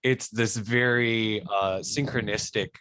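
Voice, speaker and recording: Low-voiced informal male interviewer. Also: steady tone, slow, pushed and bright, clear, resonant tone, no room sound. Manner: informal, peppered with "like". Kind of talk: casual interview questions.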